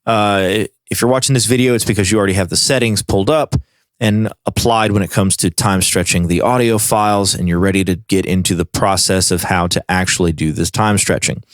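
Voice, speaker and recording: A man presenting a software tutorial in a casual, informal way, explaining things step by step. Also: deep voice, steady tone, a little fast, no room sound.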